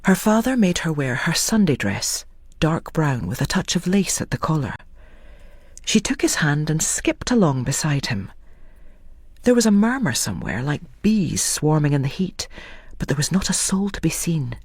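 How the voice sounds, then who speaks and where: Husky storyteller in a very dry recording. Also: mid-range voice, sing-song, normal speed, no echo. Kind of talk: scripted dramatic story narration.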